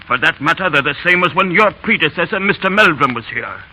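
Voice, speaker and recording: Sharp, high-voiced stubborn old English schoolmaster. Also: male voice, expressive, quick pace. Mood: stubborn, indignant. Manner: querulous, donnish, old-fashioned. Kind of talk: 1930s radio acting. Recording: noisy old 1930s radio broadcast.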